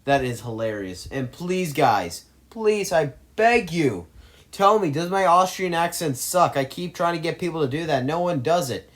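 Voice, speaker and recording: A man's voice: a little high, lively intonation, moderate pace, a bit bright. A man in an opinionated movie commentary, arguing his case emphatically and rambling through restarts.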